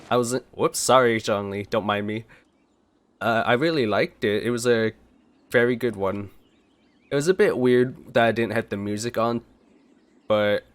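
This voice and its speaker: A man's voice: lowish voice, no echo. A young man giving casual, chatty let's-play commentary into a close desk microphone. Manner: chatty, reads game text aloud, stumbles and laughs at slips. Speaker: gaming YouTuber.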